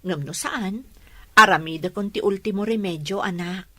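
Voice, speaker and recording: Ilocano actress as a sick but determined mom, radio drama, plaintive and earnest, close dry sound. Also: female voice, mid-range voice, moderate pace, fairly bright tone, no echo, lightly acted. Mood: worried, hopeful. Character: ailing but resolute mother facing surgery.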